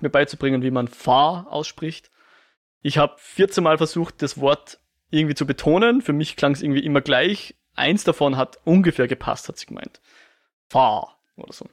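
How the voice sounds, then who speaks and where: A man co-hosting a casual film discussion podcast, thinking aloud with many restarts and self-corrections. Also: on the high side.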